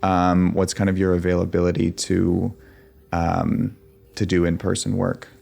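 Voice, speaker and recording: A man chats with a job applicant in a casual call. He sounds friendly and loose, stumbling over restarts and fillers. His voice sits a little low. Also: monotone, unhurried, gravelly, a little mellow. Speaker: interviewer posing as an employer. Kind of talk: casual video call conversation.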